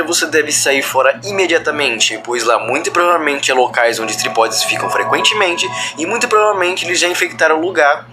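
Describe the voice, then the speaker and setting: A man with a Brazilian accent giving explanatory commentary on a horror video in a wordy, roundabout way. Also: fairly high pitch. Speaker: video host. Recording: loud background music under the voice. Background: music.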